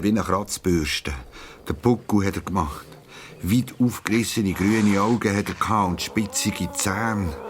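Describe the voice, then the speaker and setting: A man with a Swiss accent narrating a scripted children's story, using dramatic pauses. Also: deep voice, noisy background. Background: plucked guitar music.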